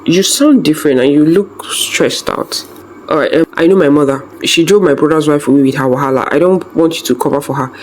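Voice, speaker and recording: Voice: medium pitch, brisk, a little mellow, slight background noise, close to an everyday voice, low-quality recording. A narrator as a caring, urgent young husband. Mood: concerned. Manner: urgent, concerned, repeating words.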